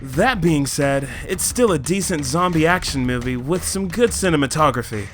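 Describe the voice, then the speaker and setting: Excitable high-voiced man, countdown co-host. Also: moderate pace, soft background music. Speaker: co-host of a movie countdown show. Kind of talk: scripted comedic countdown narration.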